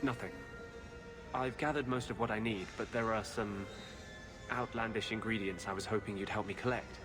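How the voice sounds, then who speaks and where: An actor playing an earnest, troubled rebel mage in a fantasy video game. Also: male voice, lowish voice, fairly even intonation, normal speed, over music, natural voice. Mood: earnest, hopeful.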